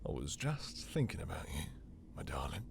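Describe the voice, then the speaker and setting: Seductive male vampire for intimate audio roleplay, played by a man: very low, gravelly, slow and possessive. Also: big pitch swings, a little hiss or hum, exaggerated voice. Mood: flirtatious, courteous. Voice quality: deep, breathy, gravelly whispery murmur. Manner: slow, teasing, silky, commanding.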